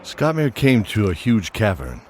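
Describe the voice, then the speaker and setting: A man narrating a fantasy audio drama in a measured, descriptive storytelling style, with faint music behind him. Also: on the low side, huge pitch swings.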